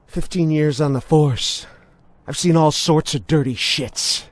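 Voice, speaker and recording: A man playing a menacing, unhinged veteran policeman in a video game cutscene, mocking and theatrical, swinging from soft talk to shouting. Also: a little high, measured pace, no echo. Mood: simmering contempt.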